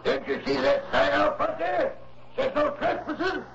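An actor playing a suspicious, gruff old rancher in a 1960s radio drama, speaking in curt, folksy, ungrammatical bursts. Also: male voice, very high-pitched, wildly swooping pitch, normal speed, highs cut off, heavily acted. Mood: hostile. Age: older. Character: weather-beaten, husky old rancher, suspicious, gruff and jittery. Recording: noisy, band-limited old radio recording.

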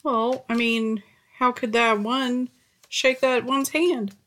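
A woman co-hosts in casual conversation, offering short reactions to stories. Her voice sits a little high, and she takes her words at a noticeably slow pace. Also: fairly even intonation, a bit bright. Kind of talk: casual chat.

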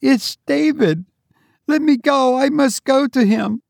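Man voicing a high-pitched, frantic grieving mother. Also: takes it slowly, creaky, darkish tone, dry recording, clean studio sound, exaggerated voice. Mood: sobbing, urgent. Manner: pleading, breathless, insistent.

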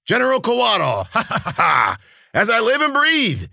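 A loud, jovial bear of a man who bellows his lines high and hearty, always half a laugh away. His voice leaps wildly up and down, bright and forceful, recorded dry and close. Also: wildly swooping pitch, unhurried, creaky, no echo, full character voice. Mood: jovial, roaring. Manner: booming laughter, loud and teasing. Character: boisterous hairy male healer, jovial drinker. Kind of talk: audiobook character voice.

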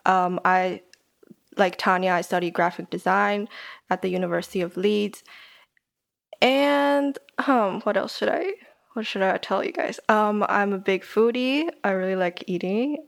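A woman's voice: medium pitch, measured pace, creaky. Chatty young woman from Taiwan. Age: young adult. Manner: chatty, uses many fillers, laughs often. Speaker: podcast host, guest here. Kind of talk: casual chat.

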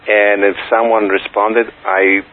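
A man's voice: on the low side, level tone, takes it slowly. A middle-aged man from Poland with a Polish accent, speaking as an interview guest in a casual, hesitant way with many fillers. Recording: tinny phone line with faint hiss.

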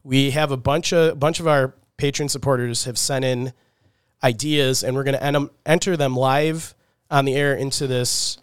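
A man's voice: medium pitch, steady tone, moderate pace, clean tone, no room sound, studio quality. A man co-hosting a podcast in casual chat, a little congested from a cold, talking loosely with many fillers. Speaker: podcast co-host.

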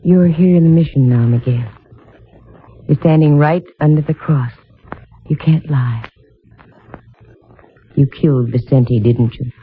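A woman playing a sharp, self-assured private detective in a 1950s radio drama, with a crisp, wry, hard-boiled delivery. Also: deep voice, measured pace, pauses often, a little hiss or hum. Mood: firm, probing. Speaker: lead actress and narrator. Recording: dull, lo-fi 1950 radio recording.

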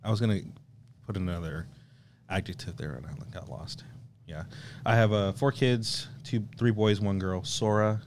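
A man, a guest coworker in a casual chat, soft-spoken as he tells stories. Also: on the low side, moderate pace, a little hiss or hum.

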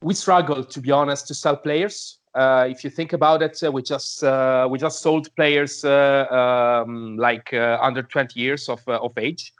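A man's voice: mid-range voice, fairly even intonation, moderate pace, hardly any pauses. A man giving his opinion on a podcast, speaking hesitantly in non-native English and searching for words. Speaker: podcast panelist.

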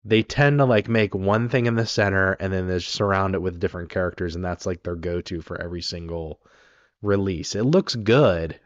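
A man with a very deep voice, rough and raspy from a cold, talks through a film discussion in a loose, rambling way. The sound is dry and a little muffled, with no room around it. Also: moderate pace, dry recording. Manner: rambling, many ums and restarts. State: raspy from being sick. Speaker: podcast co-host.

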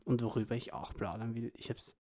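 A man's voice: mid-range voice, little pitch movement, fairly quick, warm tone, a little hiss or hum. A man, a young parent co-hosting a casual podcast, joking and ranting with exaggerated disbelief. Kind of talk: casual chat.

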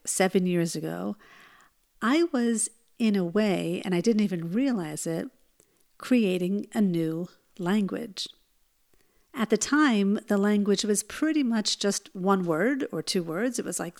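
Earnest woman hosting a podcast. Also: mid-range voice. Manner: gentle, earnest, personal storytelling. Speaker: podcast host. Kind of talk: solo podcast talk.

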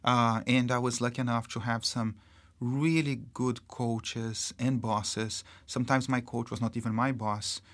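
A man from Brazil with a Brazilian accent, a marketing executive speaking as an interview guest in a halting way, full of fillers and restarts. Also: mid-range voice, fairly even intonation, a bit bright. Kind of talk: casual interview talk.

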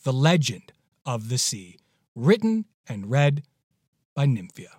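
A man's voice: mid-range voice, sing-song, slow pace, a little mellow, husky, dry recording. A man hosting a podcast, giving a casual introduction in a playful, emphatic, exclamatory manner. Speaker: podcast host.

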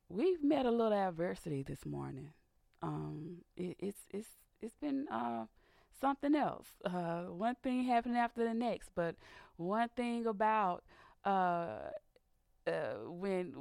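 A woman hosting a faith-based radio talk show, raspy and a little hoarse from sinus trouble, speaking earnestly and building to a preacher's fervor. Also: lowish voice, a little mellow, dry recording, clean studio sound. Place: radio studio.

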